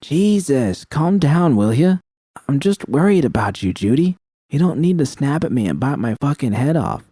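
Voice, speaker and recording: A man voicing a blunt, sharp-tongued young woman mercenary who is worried and frustrated, in an audiobook story. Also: mid-range voice, sing-song, moderate pace, slightly rough, dry recording, clean studio sound, pitched above the performer's own voice. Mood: irritated, worried. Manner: blunt, colloquial, swearing.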